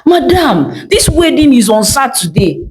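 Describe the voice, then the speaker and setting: A woman's voice: high-pitched, very animated, measured pace, pitched above the performer's own voice. A woman storyteller playing an exasperated customer who scolds and insists on getting her order. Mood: insistent. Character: exasperated, demanding woman customer chasing her overdue gown. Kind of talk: storytelling character voice.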